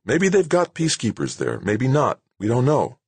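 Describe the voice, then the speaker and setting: Man voicing a brisk woman boss, raised pitch. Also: wildly swooping pitch, a little mellow, slightly rough, no echo, full character voice. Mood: patient, earnest. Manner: plain-spoken, patient but firm. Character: brisk, good-natured, firm woman base director. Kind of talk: acted audiobook dialogue.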